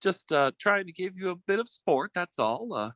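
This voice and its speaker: A theatrical con-man suitor played by a man, recorded close and dry. His pitch swoops widely as he schemes and bullies, and he is a coward underneath, prone to stammering when flustered, in old-time radio style. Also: sing-song, normal speed, fairly bright tone, dry recording. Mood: nervous pleading.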